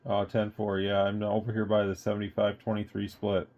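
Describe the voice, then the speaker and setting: A man's voice: on the low side, completely flat delivery, fairly quick, lo-fi sound, heavy background noise. An American man giving a casual hands-on product review, explaining things in a rambling, matter-of-fact way. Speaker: radio shop owner and product reviewer.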